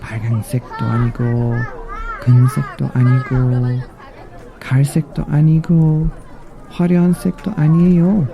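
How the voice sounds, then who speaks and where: A man voicing a little lost female mouse in a bedtime story, polite and anxious, speaking in quick, repeated short phrases. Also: mid-range voice, flat delivery, gravelly, highs cut off, music in the background, crowd noise, close to an everyday voice. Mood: frustrated, insistent.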